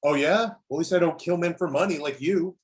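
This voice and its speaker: A man's voice: fairly high pitch, fairly quick, close to an everyday voice. A man playing a cocky, argumentative superhero soldier with a needling, self-righteous manner in an amateur table read.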